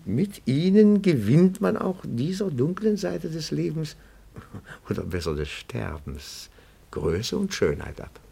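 An actor playing a timid yet nosy, pedantic petit-bourgeois detective in a radio play, speaking in a meticulous, florid, deferential way. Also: male voice, on the high side, very animated, a little slow, creaky, dark tone, slightly rough, full character voice. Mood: pedantic, admiring. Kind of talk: German radio play dialogue.

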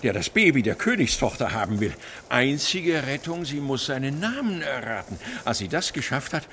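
An actor playing an excitable, bookish retired fairy-tale scholar in a children's radio play, explaining things in a pedantic, animated way. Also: male voice, fairly high pitch, vocal fry, slight background noise. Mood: chatty.

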